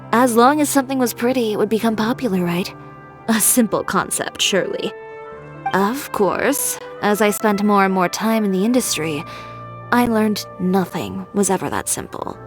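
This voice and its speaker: Proud young woman designer from a video game, mid pitch, frank n wry, composed. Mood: reflective.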